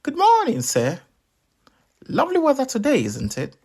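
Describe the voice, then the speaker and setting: A tiny talking fruit from a storybook, leaping far up in pitch. It chats with sing-song courtesy and impeccable manners. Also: male voice, wildly swooping pitch, normal speed, darkish tone, slightly rough, heavily acted. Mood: cheerfully polite. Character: tiny polite talking melon, chatty and courteous.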